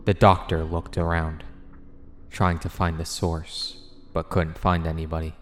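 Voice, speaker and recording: Low-voiced man narrating a story. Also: flat delivery, measured pace, faint music behind.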